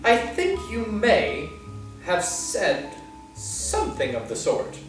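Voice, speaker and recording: A man's voice: high voice, wildly swooping pitch, slow pace, pitched above the performer's own voice, heavily acted. A man doing a brave, shaken young girl. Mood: breathless. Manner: earnest, breathless. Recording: echoey room, far from mic, soft guitar.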